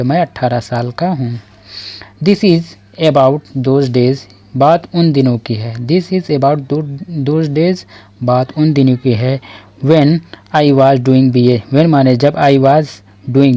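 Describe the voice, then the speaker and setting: A man with an Indian accent teaching an English lesson, reading each English line very slowly and then explaining it in Hindi. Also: mid-range voice, a little mellow. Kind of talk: slow bilingual English lesson. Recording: dull lo-fi sound.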